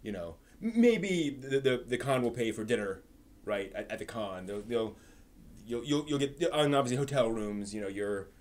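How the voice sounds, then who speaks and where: Halting, casual man hosting a stream. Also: medium pitch, expressive, darkish tone, slight background noise. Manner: halting, rambling, repeats words. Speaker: live stream host. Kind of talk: casual live stream commentary.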